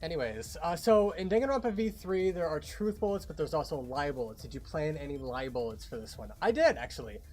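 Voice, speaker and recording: A man's voice: on the high side, animated, brisk, hardly any pauses, darkish tone. A man co-hosting a behind-the-scenes livestream, talking casually about a creative project.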